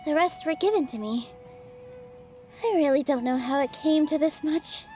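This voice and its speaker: A woman voicing a gentle, modest young female dancer in a video game, speaking politely and softly. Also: sits high, moderate pace, soft background music, lightly acted. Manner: soft-spoken, polite and self-effacing. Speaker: game character.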